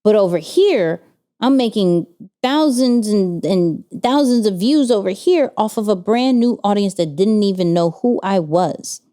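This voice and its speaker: A woman's voice: mid-range voice, expressive, measured pace, mellow. A woman co-hosting a casual business podcast, talking energetically and piling up rhetorical questions. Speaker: podcast co-host.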